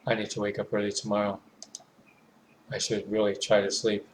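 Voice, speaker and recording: Low-voiced man narrating horror in flat tones. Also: slight background noise. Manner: measured, hushed, pausing between phrases. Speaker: narrator reading a visual novel. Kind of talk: dramatic first-person horror narration.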